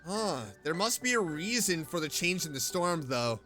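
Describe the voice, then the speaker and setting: A woman's voice: lowish voice, sing-song, normal speed, over music. A woman doing casual, chatty let's-play commentary as a game streamer, reacting to what is on screen.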